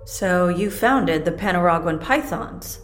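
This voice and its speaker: Principled female operative, held captive. Audio drama; guarded, dry, defiant, with lively swings of pitch. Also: unhurried, slight background noise.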